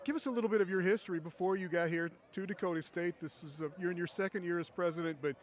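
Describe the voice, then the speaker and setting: Guy doing a quick friendly sideline interview outdoors at a football game. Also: sits high, fairly even intonation. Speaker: sideline reporter.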